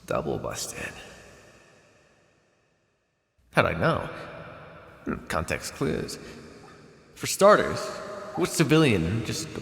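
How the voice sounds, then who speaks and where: A man acts out a sardonic vampire captor in an audio roleplay. His voice has a creaky, gravelly edge and moves animatedly up and down. It rings in a long reverberant space with faint noise behind it. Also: on the low side, wildly swooping pitch, slow, a bit bright, heavily acted. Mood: teasing, predatory. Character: teasing, menacing male vampire captor, centuries old scientist. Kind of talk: ASMR roleplay acting.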